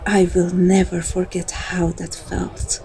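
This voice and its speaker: A dominant female vampire, played by a woman, speaks in a relaxed, dark-toned voice at a leisurely pace. She is flirtatious and teasing, drawing the listener in with intimate endearments as in an ASMR roleplay. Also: on the low side, takes it slowly, vocal fry. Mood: playful, seductive.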